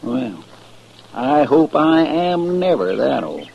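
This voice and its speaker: An actor playing a young, plaintive cowboy sidekick with a rural western American drawl in an old-time radio drama. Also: male voice, medium pitch, big pitch swings, slow pace, vocal fry, full character voice. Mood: musing, earnest. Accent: rural American West. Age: young adult. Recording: muffled, noisy old radio recording.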